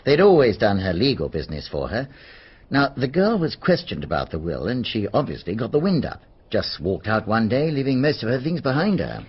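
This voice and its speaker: Gossipy police veteran, English accent, husky, animated intonation, radio drama, slightly dull audio. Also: male voice, medium pitch, fairly quick. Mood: matter-of-fact. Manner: colloquial, matter-of-fact.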